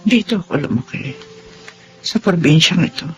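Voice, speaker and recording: A woman's voice: on the low side, expressive, normal speed, soft background music, natural voice. Actress as a wistful middle-aged mother. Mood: solemn. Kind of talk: Filipino radio-style drama acting.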